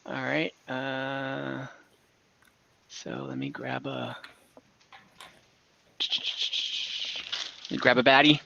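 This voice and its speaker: A man's voice: medium pitch, little pitch movement, very slow, creaky, long pauses, pushed and bright, highs cut off, slight background noise. A man running an online tabletop game as game master, talking in a casual, informal way.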